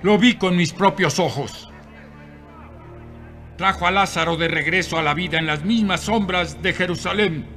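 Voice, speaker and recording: A man playing an intense, gloomy, dramatic character in a dubbed animated Bible film. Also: high voice, normal speed, soft background music. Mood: urgent.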